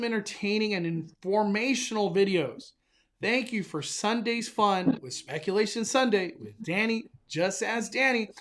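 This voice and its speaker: A young man hosting a casual live stream, excitable and enthusiastic, often stumbling and restarting his sentences. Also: sits high. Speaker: livestream host.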